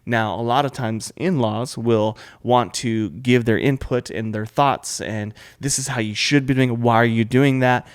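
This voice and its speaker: A young man from New Mexico chats easily as a podcast co-host, drifting through loose sentences and restarts. His voice sits at an everyday middle pitch. The sound is close and dry, with no room around it. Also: moderate pace, few pauses, smooth, no echo. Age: young adult. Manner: relaxed, talks in loose restarts and asides. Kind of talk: casual chat with his wife.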